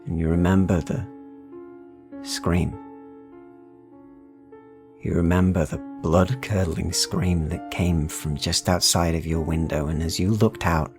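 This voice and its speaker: A man narrating dark fantasy as a game master, deliberate and ominous, pausing between short phrases. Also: sits low, level tone, soft background music. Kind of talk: slow dramatic second-person dark fantasy storytelling.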